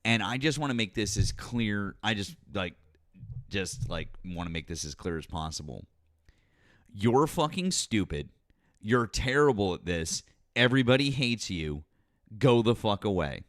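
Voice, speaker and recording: A man's voice: fairly low pitch, lively intonation, a little slow, dry recording, studio quality. A man hosting a live call-in talk show, blunt and profane with callers. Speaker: show host.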